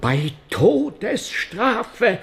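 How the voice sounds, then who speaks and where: High-pitched, fearful priest. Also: male voice, sing-song, gravelly, a bit bright, slight background noise, exaggerated voice. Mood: anxious, halting. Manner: halting, plaintive, defensive. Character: fearful, self-pitying village priest in 17th-century Lombardy. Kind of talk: German radio drama acting.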